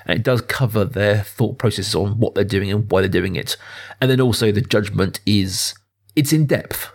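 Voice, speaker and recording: Low-pitched man chatting as podcast co-host. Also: normal speed, vocal fry. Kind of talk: casual film podcast chat.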